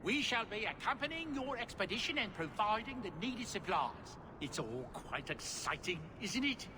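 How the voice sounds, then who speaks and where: A man's voice: very animated, normal speed. A man voicing a jolly, courteous dwarf merchant in a video game, with flowery, formal, genial speech. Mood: proud, cheerful. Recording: reverberant space, rumbling ambient noise.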